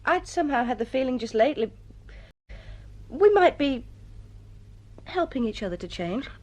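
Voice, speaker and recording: An actress playing a gentle, wistful woman in a radio drama, speaking tentatively. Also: high-pitched, very animated, normal speed. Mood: wistful, hurt. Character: wistful, gentle woman friend, quietly attached. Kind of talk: BBC radio drama acting.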